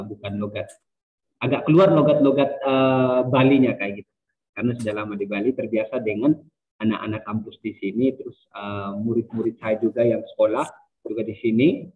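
A young man from Sumba giving a relaxed university lecture on a video call, with frequent hesitations and fillers. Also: medium pitch. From Sumba, Indonesia. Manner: casual, many hesitations and fillers. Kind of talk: online lecture. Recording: distant, phone-like video call audio.

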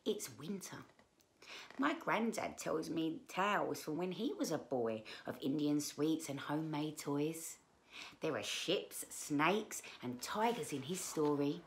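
A woman in her thirties reading aloud to children as a storyteller, expressive, with drawn-out emphasis on key words. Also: low voice, a little hiss or hum. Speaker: children's book read-aloud presenter. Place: small living room, indoors.

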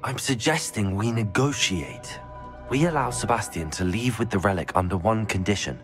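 A man voicing a calm, persuasive young student wizard in a video game, with a British accent and a measured, reassuring manner. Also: lowish voice, soft background music, natural voice.

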